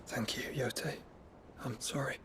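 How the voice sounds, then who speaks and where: An actor playing a gentle, earnest young nobleman in a video game cutscene, courteous and soft-spoken, with faint noise behind. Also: medium pitch, fairly even intonation, takes it slowly, natural voice. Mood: gently grateful.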